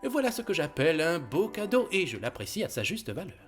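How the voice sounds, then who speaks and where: A man's voice: a little high, big pitch swings, a little fast, faint music behind. A man playing a very old, touchy tortoise inventor in a cartoon, explaining things in a fussy, professorial way. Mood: touched, formal. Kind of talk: French-language animated film dialogue.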